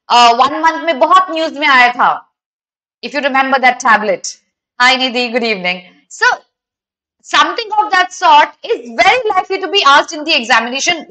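A woman's voice: high-pitched, sharp tone, low-quality recording. A young woman with an Indian accent teaching a live online class, explaining things and checking in with her students. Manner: explanatory, addresses students with frequent questions. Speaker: exam-prep teacher.

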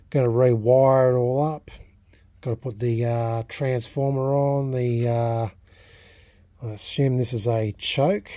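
An Australian man talking casually and unscripted in a workbench vlog, halting and thinking aloud as a hobbyist. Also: medium pitch, warm tone, no room sound. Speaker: hobbyist vlogger restoring a vintage radio. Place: small indoor home workshop at a bench.

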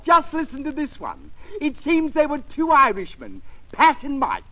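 Piercingly high, pompous comedian. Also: male voice, wildly swooping pitch, pushed and bright, full character voice, poor audio. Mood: jaunty, pompous. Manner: pompous, jaunty, drawn-out punchlines. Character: pompous, cheery male music-hall comedian with corny jokes. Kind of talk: 1940s radio comedy acting.

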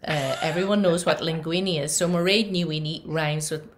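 A woman's voice: sits low, moderate pace, a little hiss or hum. A middle-aged woman from northwest Donegal, a native Irish speaker with a Donegal accent, chatting as a guest singer on a video call from home. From northwest Donegal, Ireland. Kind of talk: casual introduction on a video call. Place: home room with a harp behind. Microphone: headphones on a video call.

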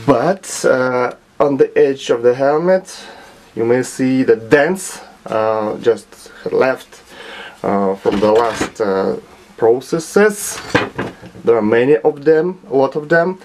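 A man with a Russian accent talks slowly through a hobby project, stopping often to hunt for the next word. His pitch sits at an ordinary middle level, and a faint hum of workshop ambience stays under the voice. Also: a little mellow. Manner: halting, many filler sounds and restarts. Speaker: hobbyist restorer. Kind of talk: hesitant show-and-tell narration. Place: small home workshop.

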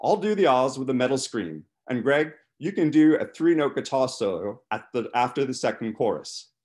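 A brash young bass player, put on by a male reader for a storybook. He is chatty, jovial and bossy. His voice sits high and jumps about with lively swings. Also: moderate pace, lightly acted. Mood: excited.

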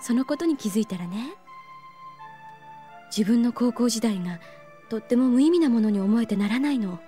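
A voice actress playing a gentle, wistful young woman teacher in an anime audio drama, soft and reflective. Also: a little high, expressive, normal speed, soft background music, natural voice.

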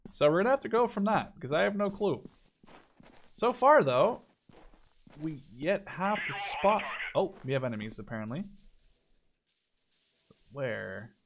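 A man with a slightly high voice gives casual gameplay commentary as a gaming video host. He thinks out loud as he plays, with some game gunfire and clicking behind him. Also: big pitch swings, measured pace, pauses often, a little hiss or hum. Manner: thinks aloud, mild swearing.

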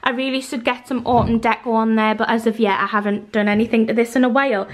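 A woman's voice: on the high side, steady tone, quick pace, no room sound. A young woman from Newcastle with a Geordie accent, giving a casual, chatty house tour as a vlogger. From Newcastle, North East England. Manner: chatty and informal. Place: walking through a house and garden. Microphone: handheld camera, held by speaker.

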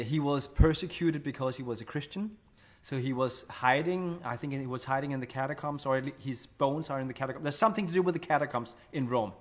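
A man in his thirties with a Danish accent, co-hosting a livestream from home, searching haltingly for English words. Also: mid-range voice. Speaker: co-host of a music livestream. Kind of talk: casual chat. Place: living room at home. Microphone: studio mic on stand, far camera.

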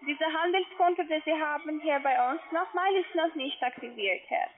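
A woman speaking non-native German as a call-centre agent, insistent, halting and repeating herself on a sales call. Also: sits high, slight background noise. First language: not German. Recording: tinny narrowband phone line.

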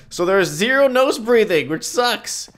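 A young man with a stuffy, nasal voice talks through his gameplay in a casual ramble. His voice sits high and swings widely up and down. He keeps restarting his sentences and chuckles at himself along the way. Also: animated, slow pace, a bit bright. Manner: rambling, self-correcting, giggly. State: congested, nasal from a stuffy nose. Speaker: gaming YouTuber.

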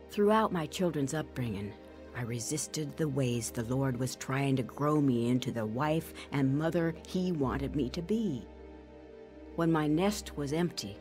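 Low-voiced, remorseful woman. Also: a little mellow. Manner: earnest, regretful. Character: remorseful woman telling her life story, burdened by shame. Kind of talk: dramatized first-person testimony.

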